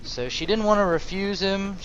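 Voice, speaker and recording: A man's voice: high voice, normal speed, a bit bright. A man role-playing and narrating a video game playthrough in a hesitant, musing, improvised way. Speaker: video game let's player. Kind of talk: role-play narration over gameplay. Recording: dull lo-fi mic, faint noise.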